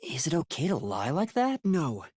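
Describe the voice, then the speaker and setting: A man voicing a timid, earnest young male trainee detective in an anime-style video game, with a youthful, breathy voice and a polite, hesitant manner. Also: on the high side, wildly swooping pitch, normal speed, darkish tone, no echo, studio quality, full character voice. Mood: unsure, hesitant.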